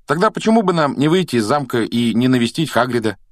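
Storybook role: anxious, loyal boy, played by a man, urgent delivery, pitched up, dry close recording. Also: lively intonation, fast talker, no echo, lightly acted. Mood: worried. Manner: urgent, pleading. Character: excitable, anxious schoolboy, loyal best friend.

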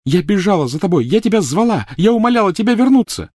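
A man voicing a furious, shrill teenage girl witch in an audiobook reading. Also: big pitch swings, fast, darkish tone, dry recording, studio quality. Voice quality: shrill, screaming.

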